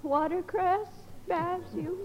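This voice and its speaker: Patient housewife, high-pitched. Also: female voice, steady tone, takes it slowly. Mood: concerned. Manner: soothing, earnest. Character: patient, devoted, gently worried housewife and mother. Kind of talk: 1950s radio sitcom acting. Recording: muffled, noisy old radio broadcast.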